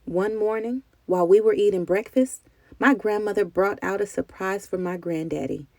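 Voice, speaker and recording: A woman narrates a children's storybook with expressive, deliberate delivery. Her voice is relaxed and dark with a creaky edge, recorded dry and close. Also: medium pitch, no echo. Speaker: children's book reader.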